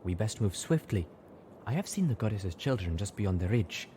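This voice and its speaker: A man's voice: lowish voice, sing-song, moderate pace, mellow, slight background noise. A man voicing a cryptic female zebra, solemn and urgent, in a story reading. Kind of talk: dramatised audiobook reading.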